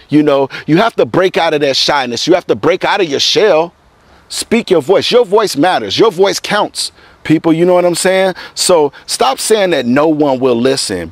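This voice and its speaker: A middle-aged man delivers a preachy motivational talk outdoors, his voice sitting a little high for a man. The lapel mic picks him up close and dry, with no room sound around him. He keeps repeating himself and calling his listeners "people". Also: dry recording. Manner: preachy, repetitive, addresses viewers as "people". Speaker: motivational vlogger. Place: outdoors in front of evergreen trees. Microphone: clip-on lapel mic.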